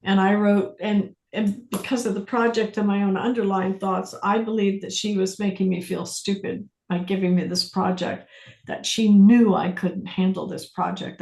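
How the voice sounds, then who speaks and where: A woman's voice: medium pitch, gravelly, a little mellow. Woman leading a group class, roomy echo. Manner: deliberate, pausing, repeating phrases for emphasis. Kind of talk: guided self-inquiry teaching with questions.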